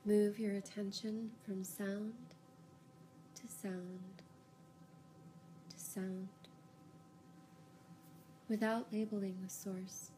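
A woman guiding a yoga nidra relaxation, giving calm, unhurried, soothing instructions with pauses. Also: mid-range voice, slight background noise, low-quality recording. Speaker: yoga nidra meditation guide. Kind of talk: guided relaxation meditation, slow instructions.

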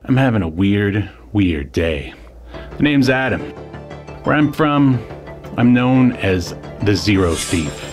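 A man's voice: on the low side, lively intonation, slow pace, pauses often. An actor playing a cocky, wry male space thief on the run, in dramatic comic narration. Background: music.

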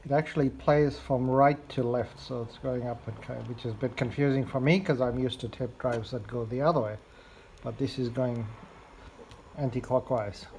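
A man's voice: mid-range voice, fairly even intonation, moderate pace, a little mellow, poor audio. A man giving a casual, unscripted demonstration as a hobbyist repairer, recorded on an amateur home video. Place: indoors at a cluttered home table. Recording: noticeable background noise, amateur home video.

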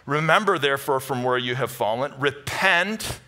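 A man's voice: mid-range voice, lively intonation, moderate pace, sharp tone. A middle-aged American man preaching to a congregation as pastor, teaching in punchy, conversational short phrases with repeated emphasis. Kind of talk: sermon to a live congregation with Bible teaching. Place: church stage, indoors.

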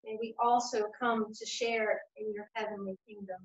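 A woman leading a congregation through liturgical prayers in a measured, steady reading voice, standing at a lectern in a small room at home and streamed over a webcam. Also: medium pitch. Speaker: church worship leader. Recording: echoey room, dull lo-fi sound.

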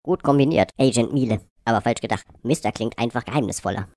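A man's voice: mid-range voice, fast, a little mellow, dry recording, lightly acted. Guy doing a bossy cartoon spy boss, mock-serious orders, really dry close sound. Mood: stern, urgent. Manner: commanding, mock-serious briefing. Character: bossy male chief of a bungling secret agency. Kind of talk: satirical cartoon dialogue.

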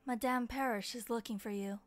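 A woman acts a composed young maid from a dubbed webcomic, quietly frank yet deferential. Her formal voice sits fairly high, with faint music behind. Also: steady tone, brisk, lightly acted. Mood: composed, polite. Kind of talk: fan dub comic voice acting.

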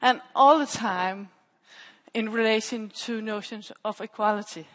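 A woman's voice: on the high side, measured pace, fairly bright tone. A woman giving an academic conference talk on a headset mic, explaining and restating her phrases. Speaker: PhD researcher presenting at a conference. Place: stage in a large dark auditorium. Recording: echoey hall with long reverb.

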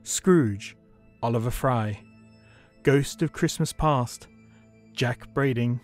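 A man narrating a scripted story in a measured, dramatic way. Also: medium pitch, a little mellow, soft background music.

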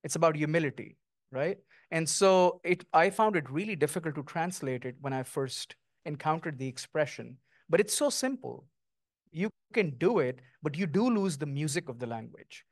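Middle-aged male author, reflective and poetic, slightly high voice, handheld mic, dry. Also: animated, normal speed, no echo. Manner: thoughtful, lyrical, with frequent ums. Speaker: guest author. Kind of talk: onstage author conversation. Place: small stage before an audience, indoors.

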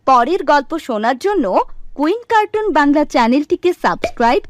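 A woman's voice: high-pitched, very animated, a little fast, no room sound. A woman reading scripted narration for a children's moral cartoon story. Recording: dry voice over faint music.

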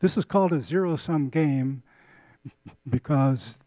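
A man's voice: a little high, expressive, unhurried, dark tone, no echo. An older man giving a casual community lecture on a handheld mic, often repeating and restarting words. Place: lecture room.

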